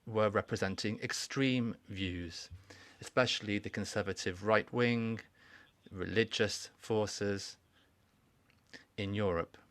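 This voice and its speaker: A man with a fairly low voice delivers formal welcoming remarks at a conference. He speaks slowly and deliberately, pausing and restarting as he goes, in a dry sound with little room echo. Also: steady tone, fairly bright tone. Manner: measured, formal address with pauses and restarts. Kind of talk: interpreted welcoming speech. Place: conference hall.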